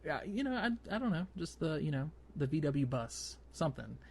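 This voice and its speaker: A man hosting a casual gaming podcast, conversational and a little halting as he thinks aloud. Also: a little high, very animated, breathy edge. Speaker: podcast host. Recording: faint background noise.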